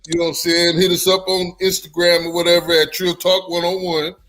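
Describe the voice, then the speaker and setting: A man's voice: high voice, little pitch movement, fairly quick, fairly bright tone, a little hiss or hum. A man hosting a casual podcast, signing off in laid-back slang and repeating "you know what I'm saying". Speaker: podcast host.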